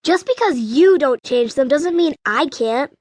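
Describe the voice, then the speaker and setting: A woman's voice: high-pitched, lively intonation, unhurried, darkish tone, no echo, studio quality. A woman playing a stubborn seven-year-old girl in a full-cast audiobook, bratty and insistent. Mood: defiant.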